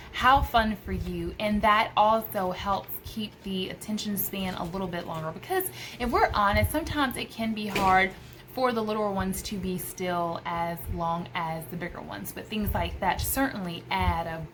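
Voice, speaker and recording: A woman's voice: mid-range voice, a bit bright, a little hiss or hum. An American woman in her thirties, a homeschooling mother, gives casual tips to camera in an enthusiastic, emphatic way. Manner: enthusiastic, emphatic with repeated phrases. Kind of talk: casual vlog advice to camera. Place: indoors, small room by a window.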